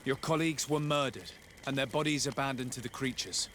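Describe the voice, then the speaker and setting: A man's voice: mid-range voice, fairly quick, fairly bright tone, slight background noise, lightly acted. An actor playing a composed, diplomatic nobleman in a video game cutscene, speaking formally and with courtesy. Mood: solemn.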